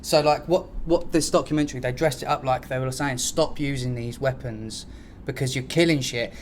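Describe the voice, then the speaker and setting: A man's voice: mid-range voice, moderate pace. Slangy British man, noisy podcast recording. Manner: slangy, sweary. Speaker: podcast host. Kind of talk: casual improvised podcast chat.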